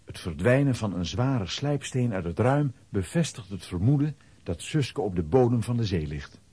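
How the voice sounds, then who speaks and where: A man's voice: lowish voice, animated, moderate pace, dark tone, husky, no room sound. A man narrating a children's audio drama in a scripted storytelling style.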